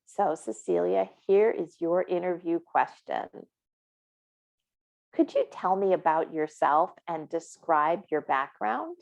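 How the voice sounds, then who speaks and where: A woman's voice: lowish voice, takes it slowly, vocal fry, darkish tone. A woman presenting a career workshop in an encouraging, upbeat way. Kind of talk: online career workshop talk.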